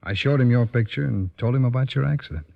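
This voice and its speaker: A man acting in an old-time radio drama as a patient, fatherly lawman, gentle and persuasive. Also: mid-range voice, fast, vocal fry, close to an everyday voice. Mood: gentle persuasion. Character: patient fatherly lawman counselling a troubled youth. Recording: muffled old radio recording.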